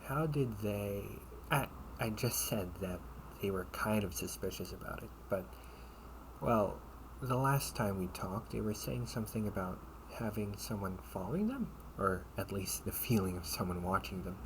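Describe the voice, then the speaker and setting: A man playing a protective older brother and taunting captor in an amateur audio roleplay, sardonic and menacing, with casual, halting delivery. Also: lowish voice, animated. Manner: casual, halting, mocking, breathy asides. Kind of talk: amateur audio roleplay acting, one-sided dialogue. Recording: noisy amateur home recording.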